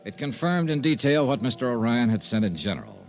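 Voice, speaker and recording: An actor playing a dry, persistent insurance investigator, clipped and understated, in an old-time radio noir drama. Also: male voice, medium pitch, sing-song, fairly quick, a little mellow, muffled recording, faint music behind. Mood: dry, narrating. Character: dry, persistent male insurance investigator narrating his case. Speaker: detective lead and narrator. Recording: vintage radio drama recording.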